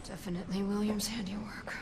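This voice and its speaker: An actress playing an obsessive, hostile scientist in a dramatic video game, volatile and defiant. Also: female voice, mid-range voice, flat delivery, quick pace, background noise, natural voice. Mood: muttering, intent.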